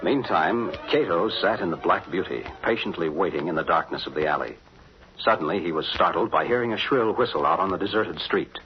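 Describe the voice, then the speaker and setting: An actor as the dramatic narrator of a 1940s radio crime serial, reading scripted story links. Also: male voice, deep voice, lively intonation, fairly quick, music in the background. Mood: dramatic, suspenseful. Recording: muffled 1940s radio recording.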